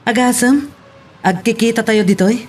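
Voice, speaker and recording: A man's voice: extremely high pitch, fairly even intonation, normal speed, slight background noise. A man with an Ilocano accent playing a chatty, easygoing customer in an audio romance drama. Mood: sociable. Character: Ron, chatty, easygoing male restaurant customer. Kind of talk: Filipino radio-style romance drama acting.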